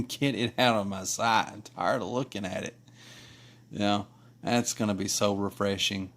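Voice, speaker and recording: Rambling man, lowish voice. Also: fairly even intonation, normal speed, a bit bright. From Kentucky. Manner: rambling, many restarts and fillers. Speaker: artist streaming live. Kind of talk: casual chat while drawing.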